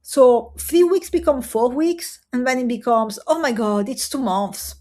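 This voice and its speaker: Woman, fairly high voice, earnest, emphatic, direct-to-camera coaching talk. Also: a little mellow. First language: Italian and French. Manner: earnest, emphatic, with rhetorical pauses.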